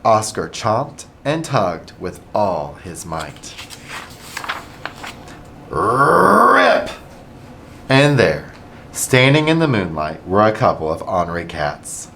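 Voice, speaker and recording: Lively, low-voiced man reading a storybook. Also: slow pace, vocal fry, pauses often, a little hiss or hum. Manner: animated, expressive storytelling. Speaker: school administrator. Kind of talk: reading a picture book to children.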